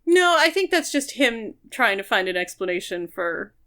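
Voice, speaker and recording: A woman's voice: on the high side, sing-song, normal speed, bright, forceful tone. A woman co-hosting a podcast, casually discussing a book and explaining her reading at length in an analytical way. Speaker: podcast co-host.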